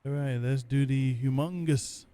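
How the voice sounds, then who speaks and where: A man giving casual, low-key gameplay commentary, thinking aloud in short, terse remarks as he plays. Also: a little slow, warm tone, no echo. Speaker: gamer playing and commenting. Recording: faint rain noise behind.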